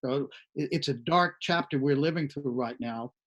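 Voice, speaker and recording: A man's voice: mid-range voice, moderate pace, a bit bright, dull audio. A man hosting a news discussion, giving political commentary in a conversational way. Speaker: panel host of an online news show.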